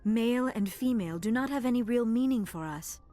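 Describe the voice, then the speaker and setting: A woman's voice: on the high side, lively intonation, a little fast, warm tone, lightly acted. Woman voicing an alien woman, earnest and naive, formal careful phrasing, hesitant, game cutscene, music behind. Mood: tentative, earnest. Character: earnest, shy young-sounding female alien, formal and naive. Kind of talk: video game cutscene dialogue acting.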